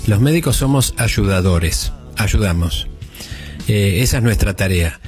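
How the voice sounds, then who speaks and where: A man's voice: on the low side, measured pace, soft background music. A middle-aged man with an Argentine accent, a doctor patiently explaining medical matters on a talk show. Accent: Argentine Spanish. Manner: calm, didactic, explains at length. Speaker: doctor guest. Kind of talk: explanatory radio chat.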